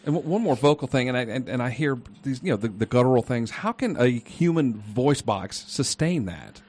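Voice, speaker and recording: A man's voice: medium pitch, lively intonation, moderate pace, darkish tone. A man hosting a casual interview, asking eager questions. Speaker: interviewer host.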